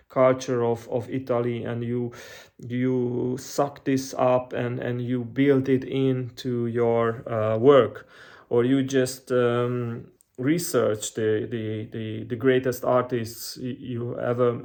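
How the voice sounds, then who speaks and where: A man's voice: mid-range voice, vocal fry, a little mellow. A man giving unscripted advice in an earnest, reflective way, hesitating often with fillers and restarts. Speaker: channel host giving financial advice.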